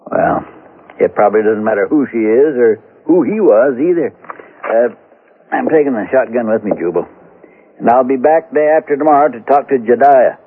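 An actor playing a steady, dogged small-town sheriff in a radio western, with a folksy, measured way of talking. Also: male voice, mid-range voice, narrow, tinny audio, a little hiss or hum, natural voice. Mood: firm questioning. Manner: folksy, plain-spoken, measured. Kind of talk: 1970s radio western acting and first-person narration. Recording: thin band-limited radio recording, music behind.

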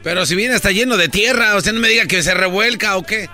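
Male comedy co-host, very high and bright, needling his partner, light music bed. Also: fast. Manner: mocking, teasing.

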